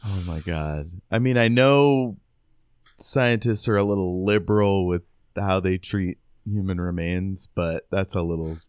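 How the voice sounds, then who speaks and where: A man's voice: low voice, unhurried, darkish tone, smooth, no room sound, clean studio sound. A man co-hosting a podcast, informally recapping and quoting in a casual book discussion. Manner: informal, conversational. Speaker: podcast co-host.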